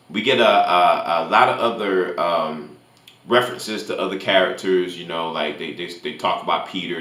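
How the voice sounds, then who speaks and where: A man's voice: deep voice, bright, forceful tone. Rambling man in a roomy, echoey space. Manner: rambling, repeats words while searching for the next one. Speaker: movie reviewer, channel host. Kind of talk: unscripted casual spoiler recap and review. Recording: roomy echo, faint music behind.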